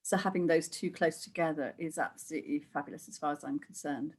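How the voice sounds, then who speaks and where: A woman's voice: sits low, fast talker, slightly rough. A middle-aged woman from Wales, a guest who chats casually, laughs often and acts out the voices she quotes. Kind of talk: casual conversational interview.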